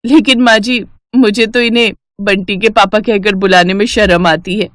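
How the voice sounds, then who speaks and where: A woman's voice: medium pitch, fairly even intonation, normal speed, fairly bright tone, no echo, studio quality, lightly acted. A woman voicing a young city-bred daughter-in-law in a cartoon, earnest and outspoken, speaking politely and eagerly. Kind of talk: Hindi moral-story cartoon acting.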